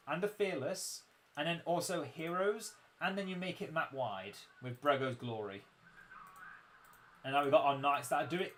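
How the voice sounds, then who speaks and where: A young man with a fairly high, croaky voice streams a strategy game, chatting easily and poking fun at his own memory. He explains unit buffs in a loose, friendly way into a desk mic, with a faint hiss behind him. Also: fairly bright tone. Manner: chatty, self-mocking, explains game mechanics. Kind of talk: casual livestream commentary.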